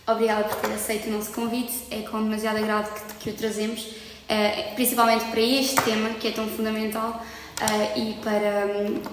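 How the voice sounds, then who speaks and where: A woman's voice: medium pitch, noisy background. A young woman hosting a student podcast interview, hesitant and often searching for her words. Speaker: podcast host, student association member. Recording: echoey room with long reverb.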